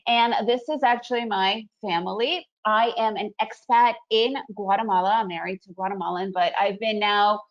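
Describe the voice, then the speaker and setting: A woman's voice: medium pitch, fairly even intonation, normal speed, hardly any pauses. A woman, presenting a trading webinar in a chatty, upbeat way and often checking in with "right?". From Soviet Union, raised in the US. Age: 40s. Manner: chatty, upbeat, frequent fillers and "right?" tags. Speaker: trading educator hosting a webinar. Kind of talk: casual presentation. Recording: dull lo-fi webinar audio.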